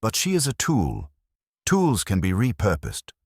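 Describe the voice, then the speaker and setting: A man's voice: fairly low pitch, huge pitch swings, a little slow, a little mellow, dry recording, clean studio sound, pitched above the performer's own voice, full character voice. A man voicing a brilliant, stern, pompous detective in an audiobook, speaking in formal, terse pronouncements. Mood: cool, scornful.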